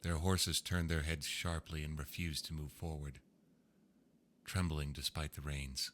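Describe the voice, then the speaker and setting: A man reading old ghost stories aloud in a slow, hushed storytelling manner. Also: a bass voice, little pitch movement, a little hiss or hum. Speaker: narrator. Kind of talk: scripted ghost story narration.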